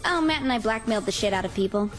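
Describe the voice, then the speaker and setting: A woman's voice: mid-range voice, expressive, rapid-fire, soft background music, lightly acted. A woman playing a dry, smug computer hacker in a mock video game interview, matter-of-fact and sarcastic.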